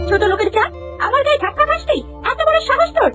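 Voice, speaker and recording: A woman voicing a haughty, scheming young woman in an animated fairy tale, with a shrill, imperious manner. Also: rapid-fire, music in the background. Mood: haughty fury.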